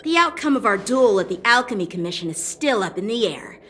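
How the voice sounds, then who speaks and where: A woman voicing a polite young rival fighter in a video game, composed, formal and quietly challenging. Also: mid-range voice, lively intonation, fairly quick, a little hiss or hum, close to an everyday voice. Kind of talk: dubbed video game cutscene dialogue.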